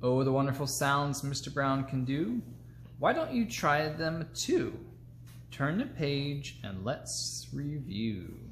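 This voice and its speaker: A woman reading a children's picture book aloud, playful and expressive, acting out the sound words. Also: a bass voice, takes it slowly, a little hiss or hum. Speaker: storytime reader. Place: indoors, seated close among children. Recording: roomy, echoey home recording.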